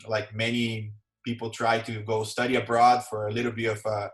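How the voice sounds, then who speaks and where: A man with a Brazilian accent and Portuguese as his first language, talking haltingly about his career as an interview guest. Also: lowish voice, completely flat delivery. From southern Brazil. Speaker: podcast guest, sports executive. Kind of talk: conversational interview, telling own career story. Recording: roomy, echoey sound.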